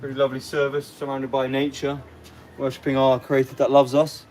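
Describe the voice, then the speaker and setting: A man's voice: medium pitch, completely flat delivery, fairly quick, a little mellow. A young man with an English accent from around London, chatting casually and easygoing on a livestream as he answers comments. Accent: English, London area. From near London, England. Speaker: livestream host. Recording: clearly noisy live stream with ambient sound.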